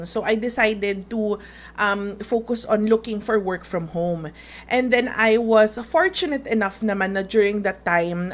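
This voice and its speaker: A woman in her late thirties with a Filipino accent, hosting an informal webinar in a chatty, conversational way. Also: medium pitch. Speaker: host, webinar instructor. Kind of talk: informal webinar talk, mixing Tagalog and English.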